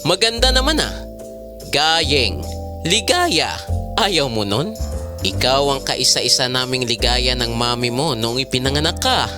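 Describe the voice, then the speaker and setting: A man acting a lively, teasing, proud father. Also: medium pitch, a little slow. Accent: Filipino. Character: teasing, affectionate, proud middle-aged father. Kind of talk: Filipino audio drama acting. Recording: music bed behind the voice.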